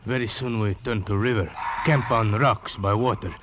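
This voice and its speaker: An actor playing a wary, deferential Venezuelan guide with a Spanish accent and halting broken English, in 1940s radio style. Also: male voice, on the low side, steady tone, muffled recording, natural voice. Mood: deferential, uneasy. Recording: very noisy vintage radio recording.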